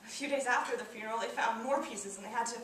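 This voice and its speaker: A woman performing a stage monologue as a plainspoken, grieving mother of a fallen soldier, solemn and deliberate, in halting phrases. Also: fairly high pitch, fairly bright tone, lightly acted. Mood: sorrowful. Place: indoor performance space, recorded from afar. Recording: very echoey hall, distant mic, faint noise.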